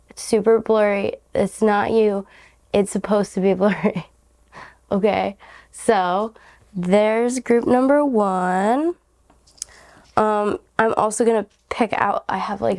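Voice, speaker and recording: A young woman with a raspy, hoarse voice from a cold leads a casual tarot reading. Her tone is mellow and warm. She rambles in a giggly way, filling her pauses with "like" and "um". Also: mid-range voice. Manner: rambling, giggly, many fillers like "like" and "um". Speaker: tarot reader, channel host.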